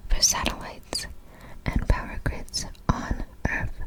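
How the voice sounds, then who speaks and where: Slow whispering woman, ASMR. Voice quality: breathy, unvoiced whisper. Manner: halting, apologetic reader, stumbles over hard words. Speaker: ASMR video creator. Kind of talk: whispered ASMR reading of facts.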